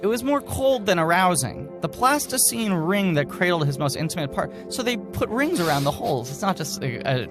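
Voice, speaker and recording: A man's voice: high voice, big pitch swings, brisk, few pauses, music in the background. A man co-hosting a podcast, giving a mock-serious dramatic reading and breaking off into casual, amused asides. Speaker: podcast co-host reading aloud.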